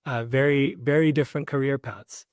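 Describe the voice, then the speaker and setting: Man from Ethiopia, interview guest, reflective, many uhs, mid pitch, dry close sound. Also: steady tone, normal speed, dry recording. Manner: thoughtful, frequent uh fillers and restarts. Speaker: guest, start-up founder. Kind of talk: conversational interview answer.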